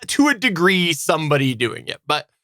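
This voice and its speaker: A man co-hosting a casual live podcast chat, often repeating words haltingly as he works through a thought. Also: on the high side, very animated, bright, forceful tone, dry recording. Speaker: podcast co-host.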